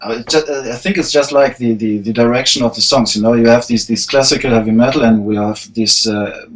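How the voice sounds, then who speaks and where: Male bass player, mid-range voice, halting speaker. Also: fairly even intonation. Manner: halting, searching for words. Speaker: band bassist. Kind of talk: casual interview.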